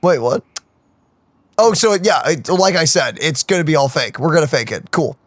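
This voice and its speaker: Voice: medium pitch, animated, normal speed, dry recording. A streamer co-host giving casual gameplay reaction commentary in a rambling, slangy way.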